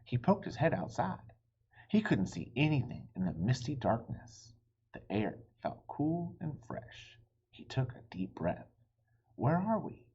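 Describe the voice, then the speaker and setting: A man's voice: mid-range voice, very animated, a little slow, warm tone, slightly rough, dull audio. A man reading a children's storybook aloud with dramatic, suspenseful expression. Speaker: storybook reader.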